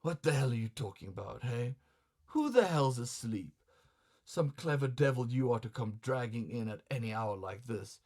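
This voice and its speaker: A man's voice: medium pitch, expressive, moderate pace, a little mellow. A man playing a grumbling, hot-tempered, vain male customer in a comic story, blustering with sarcastic, colloquial indignation. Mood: indignant.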